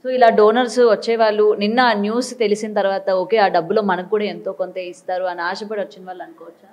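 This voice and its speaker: A woman's voice: medium pitch, fairly even intonation, fast, darkish tone, lo-fi sound. A female TV reporter interviewing by phone, asking probing questions.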